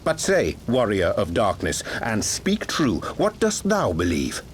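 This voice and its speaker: A man playing a grave, courteous scholar mystic in a video game, speaking in formal, archaic, measured oratory. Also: lowish voice, wildly swooping pitch, slight background noise. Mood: solemn, challenging. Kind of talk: video game cutscene acting.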